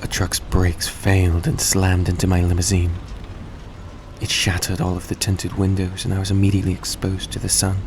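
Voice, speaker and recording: A man playing a suave, tender centuries-old vampire in a roleplay audio drama, speaking in a soft, coaxing, genteel way to the listener. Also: sits low, moderate pace. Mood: earnest, grateful. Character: suave, gracious centuries-old male vampire, young-looking, tender and grateful. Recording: steady background noise, vehicle-like ambience.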